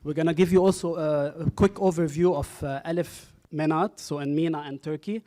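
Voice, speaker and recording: Male workshop presenter, fairly high voice, relaxed and halting, hall mic. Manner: relaxed, with frequent ums and uhs. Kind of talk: conference presentation. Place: university lecture hall. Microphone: handheld microphone.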